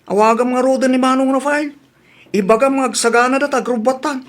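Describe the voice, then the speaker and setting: A man's voice: very high-pitched, fairly even intonation, a little fast. A man with an Ilocano accent playing a grumbling husband in a comedy audio drama. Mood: exasperated, complaining.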